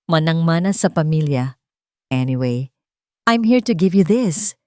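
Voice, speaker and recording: A woman voicing a charming, talkative young man who greets a newcomer warmly and mixes English into his talk. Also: sits low, animated, measured pace, no room sound, studio quality.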